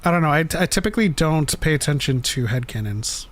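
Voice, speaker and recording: Man, livestream co-host, casual rambling, joking, higher voice, lively pitch. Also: fast. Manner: rambling, laughs often, joking. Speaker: podcast co-host reading live chat.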